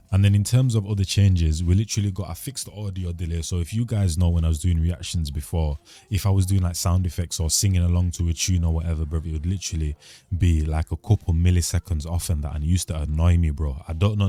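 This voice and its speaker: A man's voice: low-pitched, steady tone, fast, mellow. A young man, chatting casually to his channel in a slangy, laid-back, rambling way, recorded at a home desk mic. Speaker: channel host. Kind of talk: casual vlog chat to camera. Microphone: studio mic at a desk.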